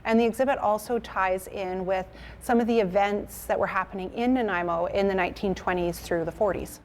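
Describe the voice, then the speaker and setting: A woman's voice: mid-range voice, fairly even intonation, brisk, a little mellow, slight background noise. A woman, speaking as a museum curator explaining in an interview. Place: indoors at a museum.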